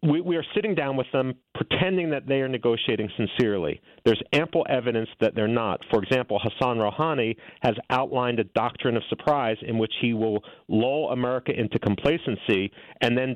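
A man's voice: medium pitch, no echo. A middle-aged man being interviewed as an author, arguing his points fluently and assertively. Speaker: guest author and policy analyst. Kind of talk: interview answers, policy argument. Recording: tinny phone-like line.

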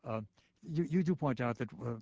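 A man hosting a radio call-in show, jocular and quick with asides. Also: mid-range voice, a little mellow. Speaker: radio talk show host. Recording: slightly muffled radio broadcast.

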